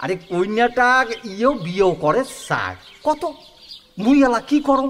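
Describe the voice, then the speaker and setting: A man's voice: high-pitched, sing-song, unhurried. A man speaking with a Kamtapuri accent, playing a greedy, scheming middle-aged village man haggling over a dowry in a rural radio play. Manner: scheming, wheedling, bargaining. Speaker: Sanatan, a scheming matchmaker's ally.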